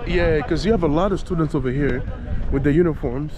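A man's voice: fairly high pitch, a little fast, noisy background. A middle-aged man from Cameroon hosting a casual travel vlog, chatting with people while filming himself at arm's length by a lake shore. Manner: chatty, upbeat, asks locals questions. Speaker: travel vlogger host. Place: outdoors by a lake shore, crowd of students around. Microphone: handheld selfie camera at arm's length. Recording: outdoor crowd chatter behind.

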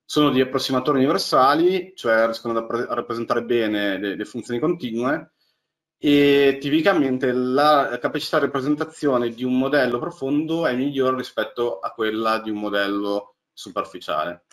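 A man who explains slides in an online lecture. His voice sits at an ordinary middle pitch, and he talks in halting bursts, with many fillers and restarts. The sound is a plain webcam mic in a small room. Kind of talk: technical lecture on deep learning. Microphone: webcam on a video call.

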